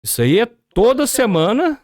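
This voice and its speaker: A man's voice: extremely high pitch, big pitch swings, moderate pace, darkish tone, dry recording, clean studio sound. A Brazilian man with a Brazilian Portuguese accent, a podcast guest explaining business ideas and asking rhetorical questions.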